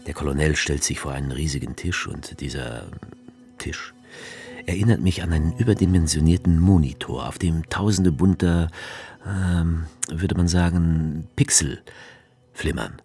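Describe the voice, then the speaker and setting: The author in a radio play and narrates wryly in the first person. His voice is extremely deep and gravelly, with soft music underneath. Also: measured pace.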